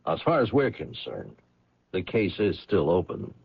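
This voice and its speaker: A dogged police lieutenant in a radio drama. His voice is very low and swings expressively as he puts skeptical, pointed questions. The old radio recording is dull and close. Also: wildly swooping pitch, creaky, a little hiss or hum, heavily acted. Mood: probing, skeptical. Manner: pointed questioning with deliberate pauses.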